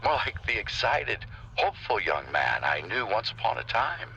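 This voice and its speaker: An actor playing an older business tycoon in a game audio log, reflective and measured, with a touch of grandeur. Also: male voice, medium pitch, sing-song, vocal fry, sharp tone, highs cut off, exaggerated voice. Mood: wistful, mournful. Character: wistful, ambitious older male business tycoon. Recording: faintly noisy old audio log.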